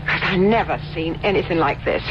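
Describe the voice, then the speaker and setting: A woman's voice: on the low side, brisk, lightly acted. Muffled radio drama, folksy skeptical innkeeper's wife, acted by a woman. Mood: worried. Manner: folksy, scolding. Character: down-to-earth skeptical innkeeper's wife, fussy and plainspoken. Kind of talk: old-time radio mystery acting. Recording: muffled old radio broadcast under engine noise.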